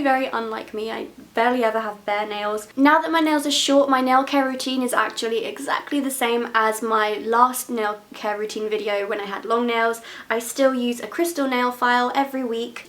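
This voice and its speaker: Young woman vlogging to camera, chatty and frank, slightly high voice, nasal from a cold. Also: a bit bright. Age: 20s. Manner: chatty, frank, laughs often. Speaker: beauty vlogger. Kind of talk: casual talk to camera.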